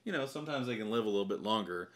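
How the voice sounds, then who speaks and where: Low-voiced man explaining patiently. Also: lively intonation, very fast. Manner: explanatory, fatherly, with uh fillers and repeats. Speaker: co-host prompting and explaining. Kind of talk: casual home video chat.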